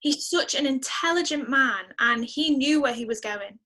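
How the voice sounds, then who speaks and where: A woman's voice: sits high, lively intonation, moderate pace, pushed and bright. A woman musician chatting casually as an interview guest, effusive and emphatic, repeating words for emphasis. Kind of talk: casual podcast interview chat.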